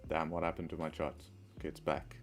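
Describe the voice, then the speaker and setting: A man's voice: extremely low pitch, completely flat delivery, brisk, soft background music. A man hosting a live trading stream, talking through charts and answering chat with repeated rhetorical questions, with a mixed, partly Arabic-sounding accent. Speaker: trading livestream host.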